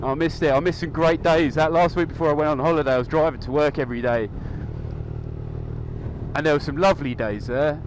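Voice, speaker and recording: A man's voice: a little high, steady tone, a little fast. A young man chatting casually as he rides his motorcycle, with a microphone inside his helmet and a rambling, upbeat manner full of ums. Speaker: motovlogger. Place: outdoors on a moving motorcycle. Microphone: helmet mic. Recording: faint road and engine noise.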